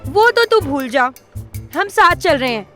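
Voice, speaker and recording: A woman playing a bossy, sharp-tongued young city woman in an audio drama, assertive and switching between Hindi and English. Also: high-pitched, big pitch swings, measured pace, soft background music, full character voice. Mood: irritated, demanding.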